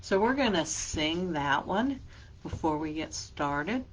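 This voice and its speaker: A woman librarian leading a children's story time, reading a picture book aloud and explaining gently. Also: deep voice, expressive, takes it slowly, low-quality recording.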